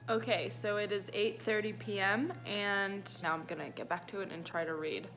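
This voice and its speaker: A woman's voice: mid-range voice, steady tone, moderate pace, a bit bright. A woman vlogger chatting casually and informally to her viewers, rambling and poking fun at herself. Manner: informal, self-mocking, rambling. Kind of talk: casual confessional vlog chat to viewers.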